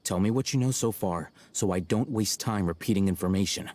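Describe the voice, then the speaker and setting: An actor playing an aloof, blunt young scholar in a video game, cool, articulate and condescending. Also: male voice, sits low, fairly quick, a little mellow, close to an everyday voice. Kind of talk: English video game voice acting. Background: faint game background music.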